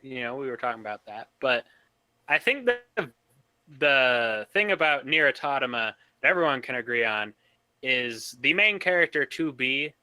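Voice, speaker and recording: A man speaking casually as a podcast panelist discussing video games, in a halting way as he thinks out loud. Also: medium pitch, lively intonation, no echo, low-quality recording.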